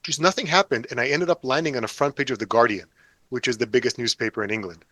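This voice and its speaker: A man in his forties, chatting as an interview guest with a dry, self-mocking wit. His voice sits at an ordinary middle pitch and sounds close and dry, with no room around it. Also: quick pace, a bit bright, no echo. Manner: wry, self-deprecating, joking. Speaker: author guest. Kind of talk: casual interview chat.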